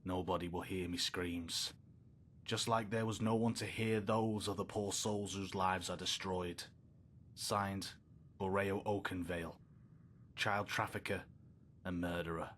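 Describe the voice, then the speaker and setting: Low, grim, doomed confessing criminal. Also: male voice, steady tone, measured pace, a bit bright, slight background noise. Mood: grim, resigned. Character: doomed, repentant male criminal reading written confession. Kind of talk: dramatic game voice-over of a confession.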